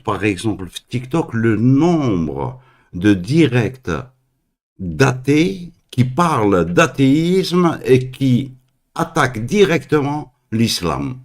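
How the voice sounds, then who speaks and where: A man from Algeria hosting a live call-in stream, talking in a halting way with many fillers and restarts. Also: medium pitch, darkish tone.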